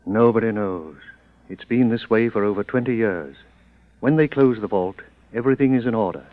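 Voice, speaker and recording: A man's voice: lowish voice, moderate pace, dry recording, lightly acted. Male, man acting: dignified colonial governor, formal, resolute, old radio drama, thin tinny sound. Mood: grave, resolute. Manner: formal, courteous. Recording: tinny old narrow-band radio recording.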